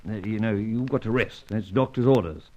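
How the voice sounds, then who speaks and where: An actor playing Sir Giles, a fussy, blustering upper-class chief, in an old radio drama. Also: male voice, fairly low pitch, a little fast, a little mellow, lightly acted. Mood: soothing. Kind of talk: 1950s radio drama acting. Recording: dull lo-fi old radio recording.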